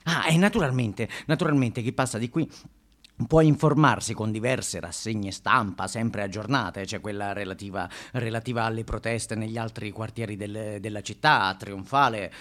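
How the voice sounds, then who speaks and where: A man's voice: fairly low pitch, normal speed, fairly bright tone. A man performing a theatrical first-person monologue, conversational and repeating phrases for emphasis.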